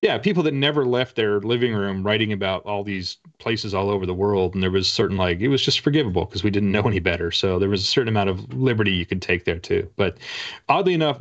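A middle-aged man chatting casually as a guest author in an interview, rambling enthusiastically through his stories. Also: lowish voice. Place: indoor room with bookshelves, on a video call. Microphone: video call. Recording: slightly dull video-call audio.

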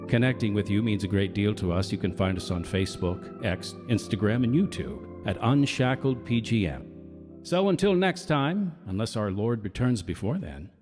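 A man's voice: on the low side, darkish tone. A man hosting a radio drama, reading scripted announcements in an earnest, polished manner. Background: music.

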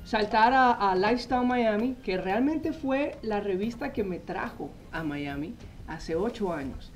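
A man, a magazine editor telling his story as a guest in a TV studio interview, animated and full of "eh" fillers, speaking into a lapel mic. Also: sits high, a little slow, faint music behind. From Colombia. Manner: animated storytelling, frequent "eh" fillers, gestures while talking. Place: TV news studio set.

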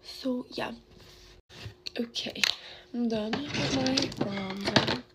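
A young woman in her late teens chatting casually while doing her makeup, rambling and filling her talk with "like", her voice worn and going hoarse. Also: on the high side, extremely slow, heavy background noise. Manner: rambling, heavy use of "like", chatty. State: losing her voice. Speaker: beauty vlogger. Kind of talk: casual get-ready-with-me chat. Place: bedroom at a vanity desk, indoors. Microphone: phone camera close in front.

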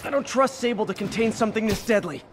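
A man's voice: sits high, fast talker, over music, natural voice. An actor voicing an earnest, worried young male superhero in a video game. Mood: urgent, concerned. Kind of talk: game voice acting.

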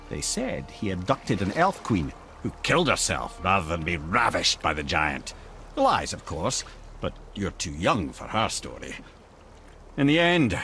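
A deep, wise old Scottish storyteller. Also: male voice, fairly bright tone, a little hiss or hum. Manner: measured, lyrical, fond toward the young listener. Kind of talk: dramatic storytelling in a video game.

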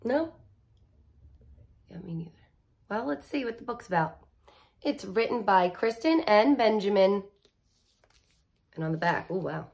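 A woman reads a children's picture book aloud in the manner of a teacher. Her delivery is playful and expressive, with a widely swinging pitch. She leans on key words and slips in casual asides. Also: measured pace, creaky, long pauses.

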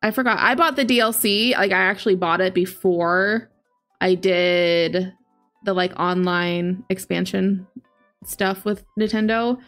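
A woman's voice: medium pitch, steady tone, unhurried, a bit bright, soft background music. A woman in her late twenties chatting casually as a livestream host, talking to viewers and reading chat aloud into a close desk microphone. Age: late 20s. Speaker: video game streamer, host. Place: home living area, indoors. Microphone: large desk microphone up close, headset on.

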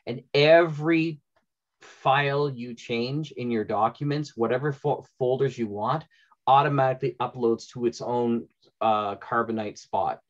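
A man's voice: mid-range voice, measured pace. A middle-aged man talking informally as the host of a panel. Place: indoor room at home, on a video call. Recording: dull lo-fi video call audio.

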